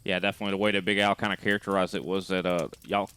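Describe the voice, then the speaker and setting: A man's voice: low-pitched, little pitch movement, racing, fairly bright tone, clean tone. A man hosting a podcast, asking rambling questions full of fillers in a casual tone. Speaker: podcast host.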